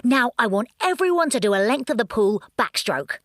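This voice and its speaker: A woman plays an excitable, bossy male rabbit in a children's story. The delivery is urgent and exclamatory, with lively leaps in pitch. The sound is close and dry. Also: moderate pace, dry recording.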